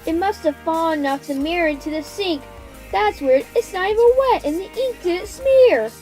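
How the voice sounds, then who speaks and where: A woman's voice: very high voice, animated, normal speed, a little mellow, faint music behind, pitched above the performer's own voice, poor audio. A woman voicing a playful, curious young boy in a storybook reading. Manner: playful, mock-dramatic.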